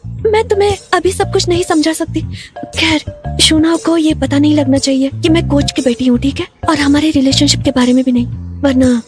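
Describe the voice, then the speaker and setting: Woman acting high-voiced spirited young office assistant. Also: moderate pace, faint music behind, close to an everyday voice. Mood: earnest, secretive. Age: young adult. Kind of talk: dubbed TV drama dialogue.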